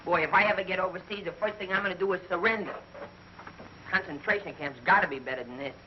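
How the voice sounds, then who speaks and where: An actor playing a whiny, hapless young army private who gripes and pleads childishly in a mid-century film comedy. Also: male voice, high voice, close to an everyday voice. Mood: glum griping. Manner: fast, pleading, childish griping, mangled grammar. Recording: dull old film soundtrack, faint noise.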